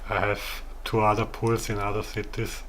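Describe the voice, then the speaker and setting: A man with an Austrian accent, a native German speaker, giving a casual, halting game walkthrough. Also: fairly low pitch, monotone, a bit bright, a little hiss or hum. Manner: halting, searching for words. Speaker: gamer showing his own game build.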